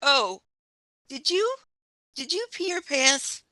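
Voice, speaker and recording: A woman's voice: sits high, sing-song, takes it slowly, pushed and bright, dry recording, studio quality, exaggerated voice. A woman playing a perky, scatterbrained police inspector in an audio play. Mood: probing.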